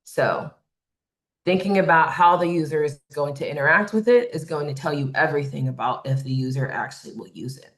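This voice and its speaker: A woman with a loud voice facilitating a design webinar, explaining points conversationally and checking in with "right?". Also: sits low, a little fast. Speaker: workshop facilitator. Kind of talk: webinar presentation.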